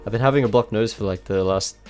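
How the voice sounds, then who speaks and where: A man with a blocked nose and a slightly nasal voice, giving relaxed, self-mocking voice-over commentary on his own match. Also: lowish voice, quick pace. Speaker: gameplay commentator. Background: faint background music.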